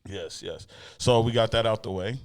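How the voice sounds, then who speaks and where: A man with a fairly low voice hosts a casual interview. He talks in a laid-back, slangy way and swears casually as he chats. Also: normal speed, clean tone, dry recording, clean studio sound. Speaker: interview show host.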